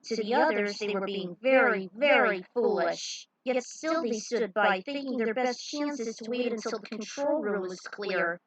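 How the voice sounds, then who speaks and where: A woman's voice: fairly high pitch, low-quality recording. A woman narrating a fan story and commenting over game scenes in a dramatic storytelling style.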